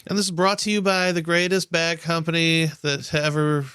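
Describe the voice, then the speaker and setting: A man's voice: fairly high pitch, flat delivery, moderate pace, bright, forceful tone, clean tone, no echo, clean studio sound. A man co-hosting a podcast, chatting casually about sports in a slangy, informal way. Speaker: podcast co-host.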